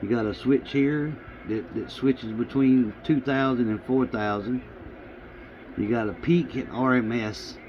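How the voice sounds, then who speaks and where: A man gives a casual, unscripted walkthrough of his equipment in a halting, rambling, plain-spoken way, recorded in a room at home. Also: mid-range voice, fairly even intonation. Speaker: hobbyist vlogger showing radio gear. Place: small home radio room, indoors. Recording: muffled lo-fi audio with background noise.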